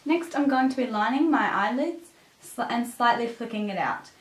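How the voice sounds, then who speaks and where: A woman with a raspy voice, a bit sick with a cough, presenting a makeup tutorial as a beauty vlogger. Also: fairly high pitch, expressive, normal speed. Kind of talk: scripted makeup tutorial narration. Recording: roomy echo, distant microphone.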